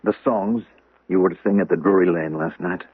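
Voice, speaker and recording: An actor playing a gentle, anxious young gentleman and devoted friend in a 1970s radio drama, speaking hesitantly and solicitously. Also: sits low, brisk, husky, no echo. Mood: hesitant, uneasy. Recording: noisy, narrow-band old radio broadcast.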